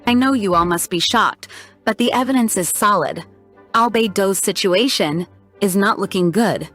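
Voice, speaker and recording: A dutiful young woman who commands knights in a fantasy game, acted by a woman. Her voice is medium in pitch, formal and measured, over faint music. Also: a bit bright, close to an everyday voice. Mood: grave. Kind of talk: English video game voice acting.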